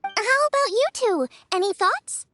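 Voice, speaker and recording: A woman's voice: very high-pitched, lively intonation, moderate pace, fairly bright tone, no echo, faint music behind. A woman voicing an earnest, cheerful young girl cook in a video game, speaking politely and eagerly. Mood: friendly, inquiring.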